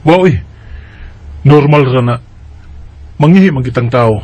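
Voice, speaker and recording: A man's voice: medium pitch, a little slow, darkish tone, no echo, close to an everyday voice. A man with a Cebuano Bisaya accent playing a matter-of-fact, dismissive but reassuring doctor in a radio drama. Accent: Cebuano Bisaya Filipino.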